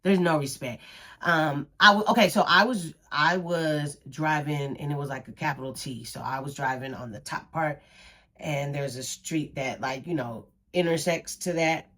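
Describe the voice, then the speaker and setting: Animated, emphatic woman with a low voice. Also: normal speed. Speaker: commentary vlogger. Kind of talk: casual opinion monologue.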